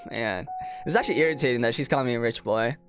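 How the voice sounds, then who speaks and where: Guy reading a visual novel out loud on stream, chatty n casual, voice goes up and down a lot, music in the bg. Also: medium pitch, expressive, a little fast. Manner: informal, reacts with amused disbelief to what is read. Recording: music playing behind the voice.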